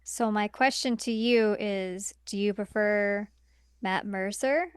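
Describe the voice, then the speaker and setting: Smooth-voiced woman chatting on a podcast. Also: medium pitch, slow, dry recording, studio quality. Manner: informal, agreeable, frequent "like". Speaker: podcast co-host. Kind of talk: casual chat reviewing movies.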